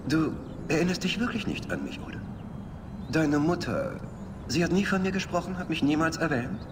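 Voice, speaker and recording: An actor playing a flamboyant, menacing middle-aged warlord in a German video game, theatrical, mercurial and mock-polite. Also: male voice, fairly high pitch, sing-song, moderate pace. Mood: wistful, theatrical. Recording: long reverb, clear background ambience noise.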